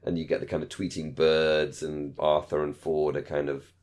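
Very deep-voiced middle-aged man chatting casually. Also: level tone, normal speed, darkish tone. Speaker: podcast co-host. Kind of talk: casual chat, fan discussion of a radio drama.

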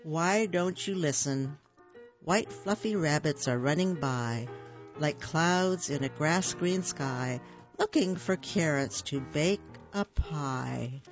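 A woman reading the lines of a patient, know-it-all big sister in a children's storybook, gently explaining things. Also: sits low, slow pace, highs cut off, over music. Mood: reciting.

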